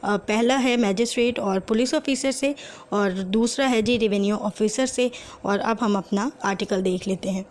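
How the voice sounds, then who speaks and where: A woman's voice: mid-range voice, darkish tone. A woman with a Pakistani accent teaching in a methodical, explanatory lecture style, reading out and translating formal text. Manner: methodical, slow and deliberate when reading quoted text. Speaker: law lecturer.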